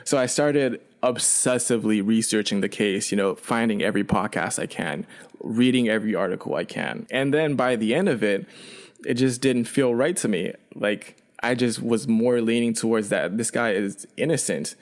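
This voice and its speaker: A young man whose first language is Chinese, raised in Canada, talks through a long personal story. His voice sits low, and he wanders with fillers and restarts in an easygoing, unhurried way. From China, raised in Canada. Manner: relaxed, rambling, frequent fillers and restarts. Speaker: guest. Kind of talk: casual storytelling.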